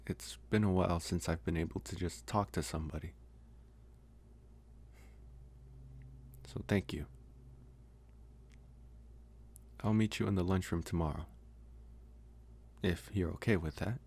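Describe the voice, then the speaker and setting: A man playing a gentle, lonely young college vampire in an intimate ASMR roleplay, speaking softly and haltingly with long pauses. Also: deep voice, fairly even intonation, slightly rough. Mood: apologetic, grateful.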